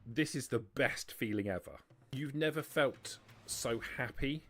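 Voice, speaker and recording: A man's voice: medium pitch, a little slow, a bit bright, a little hiss or hum. A man running a tabletop roleplaying game as game master, patiently explaining rules and narrating scenes.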